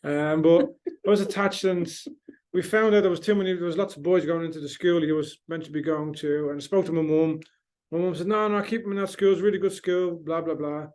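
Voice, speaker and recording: Man, Irish accent with Dutch trace, fairly high voice, direct, wry, casual. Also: fairly even intonation, brisk, a little mellow. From Netherlands. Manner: plain-spoken, direct, wry, with frequent restarts and ums. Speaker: podcast guest, host's husband. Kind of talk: casual conversation.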